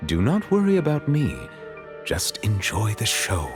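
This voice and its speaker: A man playing a composed, stern high official in a video game, formal and reserved in manner. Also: huge pitch swings, unhurried, music in the background. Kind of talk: video game drama acting.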